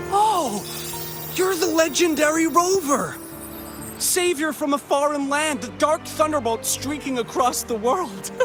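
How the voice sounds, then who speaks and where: An effusive game character, a theatrical gentleman filmmaker. His high voice swoops widely through grandiose, courteous phrases. Music plays behind him. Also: full character voice. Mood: effusive, awed. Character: flamboyant, excitable male filmmaker, courteous and grandiose. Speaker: film studio head, non-player character.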